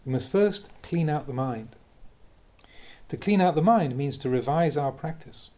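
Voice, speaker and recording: A man reading a Buddhist teaching aloud like a monk giving a talk, in a measured, conversational and expressive way. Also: a little high. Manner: measured, expressive, with rhetorical questions. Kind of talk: read-aloud Dhamma talk, conversational delivery.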